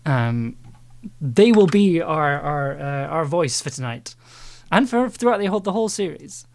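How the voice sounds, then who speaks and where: A man's voice: fairly high pitch, expressive, moderate pace. A man chatting casually on a livestream as an audio editor, thinking aloud while working. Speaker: audio drama editor and streamer. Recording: faint noise, keyboard clicks. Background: keyboard typing and clicks.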